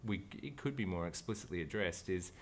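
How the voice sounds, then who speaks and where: A man's voice: low voice, expressive, brisk. An Australian man, an actor, talking casually as an interview guest, thoughtful and rambling, with frequent restarts.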